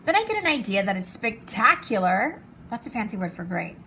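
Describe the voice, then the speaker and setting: A woman reading as an excitable, fancy little girl from a storybook, dramatic and showy with her favorite words. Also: mid-range voice, brisk, slight background noise. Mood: eager.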